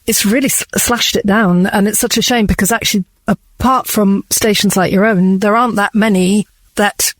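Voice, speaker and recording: A woman's voice: mid-range voice, steady tone, moderate pace, no room sound. Female interview guest: thoughtful, conversational, close dry recording. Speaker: drama director, interview guest.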